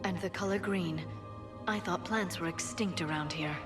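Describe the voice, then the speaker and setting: A woman's voice: fairly low pitch, normal speed, over music, natural voice. A woman voicing a thoughtful, curious alien researcher in a video game, reflective and articulate. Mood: wistful.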